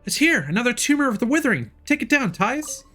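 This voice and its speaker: A woman voicing a small, excitable, childlike fairy companion in a video game, squeaky and eager. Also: fast talker, faint music behind, full character voice. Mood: alarmed, excited. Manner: exclamatory, eager.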